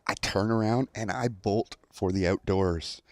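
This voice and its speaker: A man's voice: on the low side, a little slow, darkish tone, no room sound. A young adult man telling a story in a casual commentary style, acting out quotes as he goes. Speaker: online storyteller.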